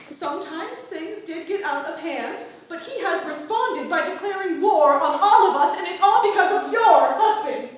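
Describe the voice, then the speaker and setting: A woman's voice: very high voice, lively intonation, moderate pace, hardly any pauses. A woman playing a blunt, indignant townswoman who is awkwardly polite, in a staged reading of a period play. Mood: furious. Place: theatre stage, picked up from far off. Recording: very echoey, distant, faintly noisy theatre recording.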